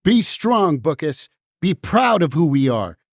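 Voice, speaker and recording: A woman's voice: deep voice, sing-song, unhurried, no echo, studio quality, heavily acted. A woman playing a weary, caring book puppet in a musical puppet show, earnest and confiding. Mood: encouraging.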